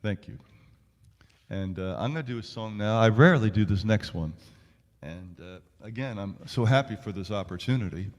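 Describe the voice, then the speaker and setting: An American man, a singer-songwriter chatting casually between songs at a live show, halting and rambling in a self-deprecating way. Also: lowish voice, a little mellow, in a large hall, muffled recording. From United States.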